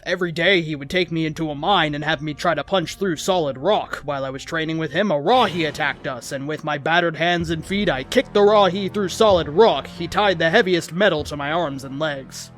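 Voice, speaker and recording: A man's voice: on the high side, normal speed, hardly any pauses, a bit bright. A man reading a fan fiction story aloud as host, in a dramatic narrating style. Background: background music.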